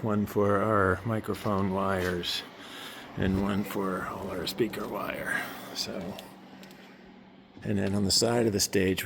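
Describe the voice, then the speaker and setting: A man with a low, fairly flat voice presents a project in an informal, explanatory way. He narrates as if giving a casual tour of a large auditorium. Clear background noise runs under his speech. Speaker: nonprofit staffer presenting a project. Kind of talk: casual walkthrough video narration. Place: large school auditorium with balcony, indoors.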